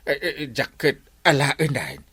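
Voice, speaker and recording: A man's voice: fairly high pitch, big pitch swings, measured pace, pushed and bright, breathy edge, dry recording, full character voice. A man playing a lazy village captain who feigns illness, whining and stammering theatrically with an Ilocano accent in a comic radio drama. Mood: eager, cajoling.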